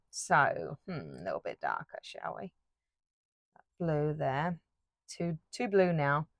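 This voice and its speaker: A woman chats away while painting, looping back with fillers and restarts. Her voice sits a touch low and sounds a bit croaky. The sound is dry and close, recorded on a phone. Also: no room sound. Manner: rambling, chatty, many fillers and restarts. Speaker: art YouTuber. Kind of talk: casual chat while painting.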